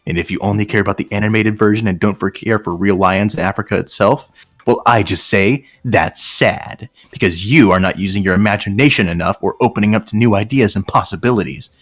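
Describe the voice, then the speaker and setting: A man's voice: fairly low pitch, fairly quick, a bit bright, dry recording. A man in casual comedic banter, reading aloud in a mock-earnest way and often laughing. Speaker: online comedy show host reading fanfiction aloud.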